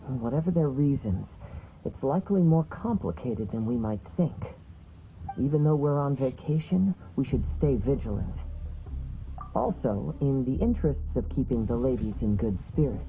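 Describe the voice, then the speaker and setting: Composed, thoughtful young wanderer: slightly low, creaky, measured game dialogue. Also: male voice, sing-song, a little hiss or hum. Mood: calm, considerate. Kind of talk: video game voice acting. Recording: muffled phone-like sound with music.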